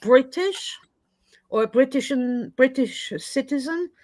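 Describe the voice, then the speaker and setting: A chatty woman with a Swiss French accent, her first language French, talking as an interview guest. Her voice sits a little high and sounds close and dry, with no room around it, as on a video call. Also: lively intonation, slow pace, darkish tone, dry recording. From Switzerland, French-speaking. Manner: chatty, self-deprecating, laughs easily. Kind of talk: casual online interview chat.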